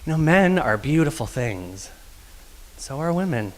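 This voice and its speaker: A man from Texas with a soft, feminine-sounding voice, telling a personal story on stage in a confessional, wry way with light laughs. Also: on the high side, sing-song, a little slow. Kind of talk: live personal storytelling monologue. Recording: long reverb of a performance hall.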